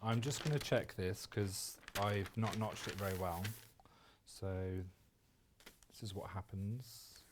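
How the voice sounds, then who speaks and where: A British man demonstrating sewing on a live show, talking in a calm, soft way. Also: fairly low pitch, slow pace, noisy background. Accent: British English. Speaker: sewing pattern designer guest. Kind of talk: casual demonstration chat.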